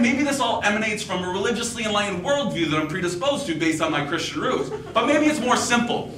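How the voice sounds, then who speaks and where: A man's voice: high voice, sharp tone. A young man in his twenties performing spoken word poetry live at a college event, with a passionate, rhythmic delivery. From United States. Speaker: poet. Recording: echoey hall, distant mic, faint hiss.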